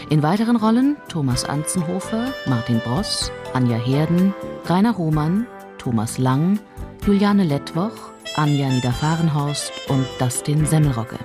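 Tiefe Ansagerin über deutlicher Musik. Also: female voice, deep voice. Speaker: announcer. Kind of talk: scripted radio credits announcement.

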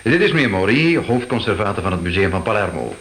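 An actor playing a grandiloquent, self-assured and courteous monuments inspector in a radio drama. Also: male voice, lowish voice, big pitch swings, fast talker, a bit bright. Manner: pompous, courteous. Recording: faintly noisy old radio drama.

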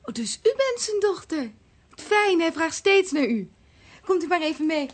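An actress playing a polite, caring, easily flustered hospital nurse in a radio play, speaking Netherlands Dutch with a helpful manner. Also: female voice, very high voice, sing-song, moderate pace. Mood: polite, friendly. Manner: courteous, anxious, helpful. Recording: noisy archive radio play recording.

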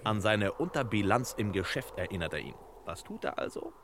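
Pompous low-voiced master detective, noisy recording. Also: male voice, big pitch swings, brisk, fairly bright tone. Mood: smug, deducing. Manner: pompous, clipped, precise deductions. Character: self-assured male master detective, know-it-all deducer. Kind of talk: 1960s German crime radio play parody. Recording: noisy old radio drama recording.

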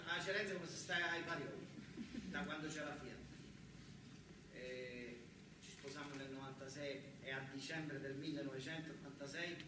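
An actor playing an earnest factory worker and young father, telling his life in a colloquial, halting theatrical monologue with a southern Italian accent. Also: mid-range voice, heavy reverb, far from the mic, background noise, natural voice. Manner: colloquial, halting, repeating words for emphasis.

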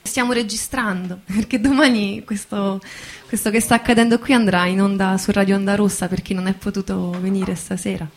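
A woman's voice: medium pitch, steady tone, a little fast. A woman moderating a live event with an informal spoken announcement. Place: live evening event recorded for radio. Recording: faint noise with clicks.

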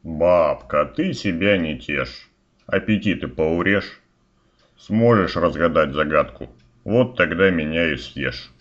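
A man's voice: very deep voice, animated, slow pace, fairly bright tone, exaggerated voice. A man playing a simple, stubborn, cheeky young village lad who banters in folksy rhyme for a fairy-tale audio play, recorded a little muffled. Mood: cheeky challenge.

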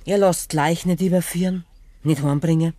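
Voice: medium pitch, steady tone, normal speed, dark tone, no echo, lightly acted. A performer playing a knowing village gossip with a Bavarian accent in a 1950s radio play, terse and matter-of-fact. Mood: confiding. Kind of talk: 1950s German dialect radio play acting.

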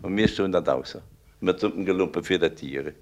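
A man's voice: low voice, fairly quick. A man telling memories off the cuff, earthy and emphatic, repeating his phrases. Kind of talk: spontaneous oral recollection.

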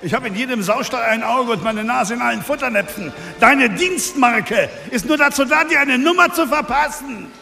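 An actor playing an arrogant, corrupt crime boss, sneering and boastful, in a German radio drama. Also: male voice, very high-pitched, lively intonation, moderate pace, gravelly, bright, forceful tone, long reverb tail, full character voice. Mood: contemptuous.

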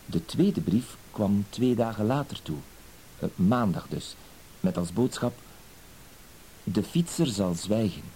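Mellow, timid, pleading husband, hissy recording. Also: male voice, lowish voice, expressive, slow, pauses often, rough recording. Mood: earnest, confiding. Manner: apologetic, hesitant, wordy, polite. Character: timid, pleading, dutiful cuckolded husband, wounded dignity. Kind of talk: Dutch-language radio play acting. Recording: hissy old radio play recording.

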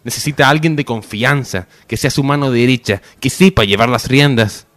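A man's voice: medium pitch, moderate pace, a bit bright, dry recording, lightly acted. A man playing an earnest confidant in a parody radio soap.

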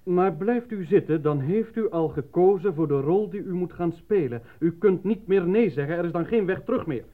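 An actor in a radio play voicing a formal, controlling male official with a measured, solemn and officious manner. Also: sits high, expressive. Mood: grave, ominous. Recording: muffled lo-fi old radio recording.

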